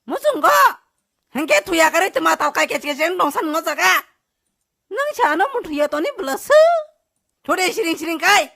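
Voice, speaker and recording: A woman voicing an excitable, squeaky cartoon character with an animated, exclamatory delivery in a dub. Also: normal speed, vocal fry, long pauses, dry recording, clean studio sound, exaggerated voice. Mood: animated bickering. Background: faint music.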